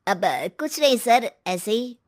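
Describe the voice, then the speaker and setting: Woman doing a young waiter, kind, soft, cartoon, dry close sound. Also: a little high, lively intonation, a little slow, no echo. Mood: polite.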